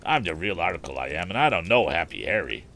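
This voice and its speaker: Man putting on a proud, low-voiced old-time hobo. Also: sing-song, fast, gravelly, pushed and bright, a little hiss or hum, full character voice. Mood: proud, dismissive. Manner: folksy, boastful slang. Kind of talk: acted audiobook dialogue.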